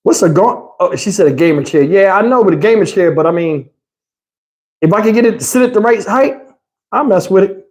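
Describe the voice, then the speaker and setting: A man's voice: high-pitched, lively intonation, brisk, a little mellow. A man talks to his livestream viewers. He rambles in loose slang and swears freely as he goes. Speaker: livestream host. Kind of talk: casual livestream chat with viewers.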